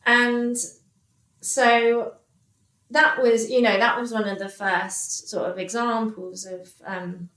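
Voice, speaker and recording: A woman's voice: on the high side, level tone, a bit bright. A woman in her thirties, a podcast guest telling a personal story with many restarts and fillers. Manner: halting, many restarts and fillers, quotes others. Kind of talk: candid interview storytelling. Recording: echoey room.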